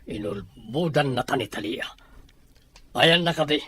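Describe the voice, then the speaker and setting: A man's voice: on the high side, expressive, unhurried, sharp tone, breathy edge, no room sound, full character voice. An actor playing a stern, worried father and businessman husband in a radio drama. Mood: searching, suspicious.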